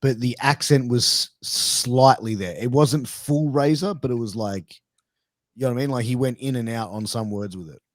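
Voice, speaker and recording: A man with a mid-range voice chats casually on a podcast, relaxed and conversational. The sound is dry and close. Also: medium pitch, moderate pace, dry recording. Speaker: podcast co-host.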